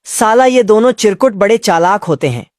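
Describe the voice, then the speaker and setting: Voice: mid-range voice, lively intonation, moderate pace, loud music behind the voice. A narrator telling a comic cartoon recap from a script in colloquial, slangy storytelling.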